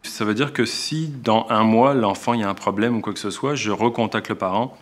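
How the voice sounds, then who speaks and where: Low-voiced Québécois male teacher. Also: a little fast, slight background noise. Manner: reflective, explains with frequent restarts. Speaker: elementary school teacher. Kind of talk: documentary interview.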